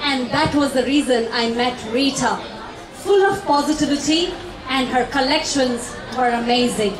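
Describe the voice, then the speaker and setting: High-voiced woman addressing a crowd. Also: normal speed. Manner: formal, addresses ladies and gentlemen. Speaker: TV show host and event sponsor representative. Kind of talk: speech to an event audience. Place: large hall at a fashion show. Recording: echoey hall from far off with crowd.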